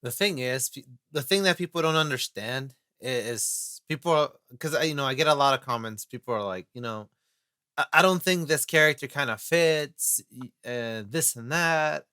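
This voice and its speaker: A man with a bright, forward voice hosting a loose podcast conversation. He rambles and fills gaps with "you know" often. The sound is dry and close. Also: medium pitch, moderate pace, clean tone, no echo. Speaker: host and casting director. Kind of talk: casual podcast chat.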